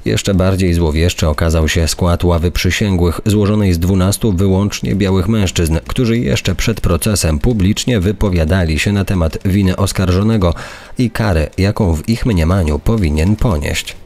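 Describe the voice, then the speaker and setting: A man with a low voice narrates a true-crime account. He reads from a prepared script. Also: moderate pace, darkish tone.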